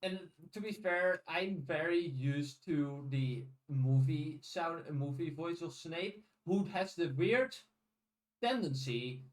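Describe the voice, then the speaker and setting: Male podcast host, lightish higher pitch, slightly distant mic, casual analytical talk with repeated restarts. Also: lively intonation, slow. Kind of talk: casual chat.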